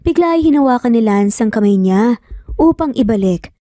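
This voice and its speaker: A woman's voice: a little high, animated, moderate pace, dark tone, dry recording, clean studio sound. A Filipino woman reading a scripted romance story as a dramatic narrator.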